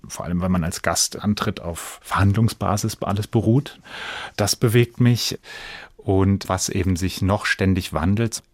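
A man's voice: deep voice, no echo. A man answering as an actor in a conversational radio interview, earnest and reflective, in broken-up phrases. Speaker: stage actor, interview guest.

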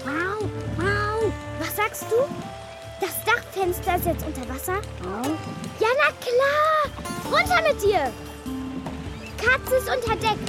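A feisty young girl pirate captain, with a very high, cheeky voice. She gives commands boldly over loud music in a children's radio play. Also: big pitch swings, takes it slowly, heavily acted. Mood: urgent, excited.

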